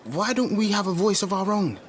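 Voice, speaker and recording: A man's voice: high voice, lively intonation, a little fast. An actor playing a confident, street-smart young man with a London accent in a radio drama, slangy and opinionated. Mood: impassioned. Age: young adult. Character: confident young British man, outspoken musician-turned-speaker, street-smart. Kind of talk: naturalistic radio drama dialogue.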